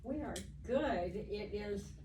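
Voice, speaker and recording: A woman organizing a live session, speaking slowly and in a friendly way. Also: medium pitch, lively intonation. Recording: distant mic in echoey room with noise.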